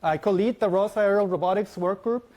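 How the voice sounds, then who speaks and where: A man in his thirties with a Mexican Spanish accent, a native Spanish speaker, giving a fast, lively conference talk and often asking the audience questions. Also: high-pitched. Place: large lecture hall.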